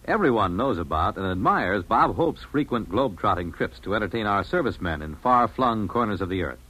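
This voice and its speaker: A man's voice: medium pitch, fairly bright tone, poor audio. A man with an American accent announcing formally and dramatically in a scripted 1950s radio style. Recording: slightly rough vintage radio recording.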